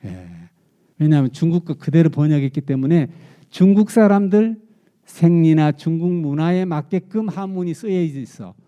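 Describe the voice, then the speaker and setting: A man's voice: on the high side, normal speed, dark tone, smooth. A male Buddhist monk giving a dharma talk, explaining eagerly with rhetorical questions and repeated key words. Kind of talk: sermon. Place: temple dharma hall.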